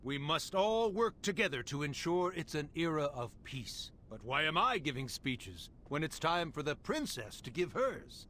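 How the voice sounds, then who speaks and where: Keen royal official in a fantasy game, forceful bright voice, really animated, kinda ceremonious. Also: male voice, on the high side, moderate pace, hardly any pauses, a little hiss or hum. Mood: eager, proud. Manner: eager, ceremonious, speechifying. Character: upbeat, honorable imperial official escorting royalty. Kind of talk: fantasy game cutscene acting.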